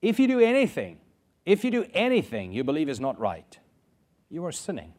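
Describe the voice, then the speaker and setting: A man's voice: a little high, wildly swooping pitch, brisk, darkish tone. Man preaching. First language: Afrikaans. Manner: earnest, repeats key phrases for emphasis. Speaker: pastor. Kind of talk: sermon.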